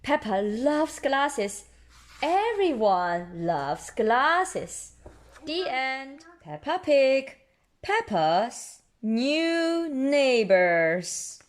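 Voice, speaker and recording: Female children's storyteller, higher-pitched, hugely expressive, unhurried read-aloud for little ones. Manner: expressive, deliberate delivery for toddlers. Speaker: storyteller reading picture books aloud. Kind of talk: children's read-aloud narration with ad-libbed asides.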